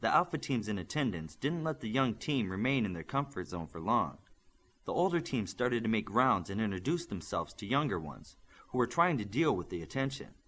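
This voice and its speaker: A man narrating an audiobook in an expressive, steady storytelling manner. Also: fairly low pitch, moderate pace. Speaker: audiobook reader. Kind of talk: scripted narration.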